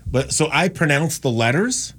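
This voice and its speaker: A man's voice: fairly high pitch, very animated, normal speed, a bit bright, slightly rough. A man from North Carolina hosting a radio show, talking in a rambling, chatty way with frequent restarts and no strong accent. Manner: chatty, rambling, self-correcting. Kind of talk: casual on-air talk.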